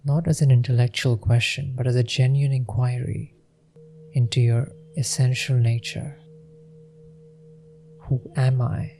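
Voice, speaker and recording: Mellow, gravelly man guiding meditation. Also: medium pitch, flat delivery, slight background noise. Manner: gentle, unhurried, with long pauses. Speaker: meditation guide. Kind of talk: guided meditation.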